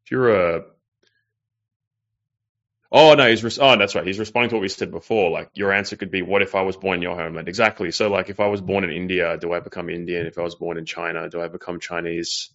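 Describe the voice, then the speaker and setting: A man's voice: low-pitched, fairly even intonation, fast, fairly bright tone. A man hosting a livestream and reading viewer messages, talking in a loose, rambling way with plenty of swearing and fillers. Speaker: livestream host reading super chats. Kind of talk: casual livestream chat. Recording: slightly muffled stream audio.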